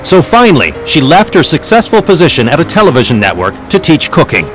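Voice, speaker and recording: Male documentary narrator, scripted, animated intonation, slightly high voice, faint music. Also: slight background noise, poor audio. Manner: measured storytelling delivery.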